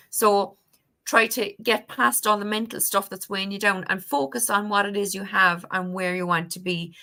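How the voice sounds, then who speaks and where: Lady doing tarot, bright clear-cut voice, chatty, keeps asking if you know what she means. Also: mid-range voice. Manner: conversational, seeks agreement with tag questions. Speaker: tarot reader. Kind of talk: casual tarot reading to viewers.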